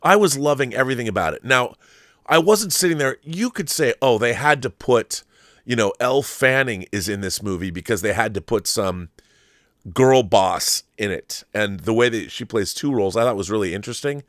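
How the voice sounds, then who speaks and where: A man's voice: medium pitch, animated, moderate pace, a bit bright, no echo, clean studio sound. A man hosting a film commentary show, talking in a casual, opinionated monologue with an effusive, emphatic, rambling manner and some swearing.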